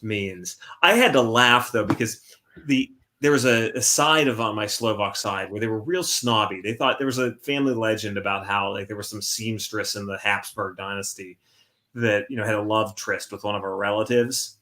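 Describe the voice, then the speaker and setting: A man's voice: on the low side, moderate pace, bright, forceful tone. An American man chatting casually as a stream guest, rambling and redirecting himself mid-thought. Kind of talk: casual chat.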